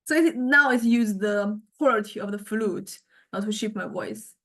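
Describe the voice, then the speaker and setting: A woman lecturer giving an informal software demonstration, thinking aloud in a halting way as she goes. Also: medium pitch, faint music behind. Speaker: music lecturer.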